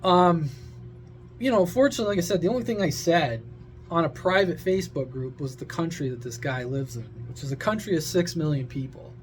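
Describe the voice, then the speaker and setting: A man's voice: fairly high pitch, fairly quick, slight background noise. A man giving a casual, opinionated rant to his viewers, blunt, sarcastic, crude and exasperated. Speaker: social media creator and CB radio hobbyist.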